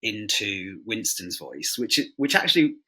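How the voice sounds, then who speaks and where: Bright, low-pitched man, wry and casual. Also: very animated, unhurried, breathy edge. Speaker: writer. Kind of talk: casual interview talk.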